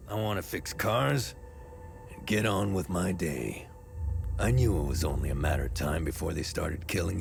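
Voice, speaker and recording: Gravelly, world-weary survivor. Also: male voice, low voice, exaggerated voice. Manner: grim, world-weary, deliberate. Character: weary, bitter, devout middle-aged man in a war-torn country. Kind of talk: dramatic video game dialogue.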